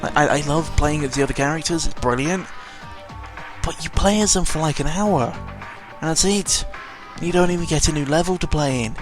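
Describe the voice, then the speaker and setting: A British man giving casual, unscripted video game commentary, rambling along in a sarcastic, mildly sweary way. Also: a little high. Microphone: close microphone. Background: video game music.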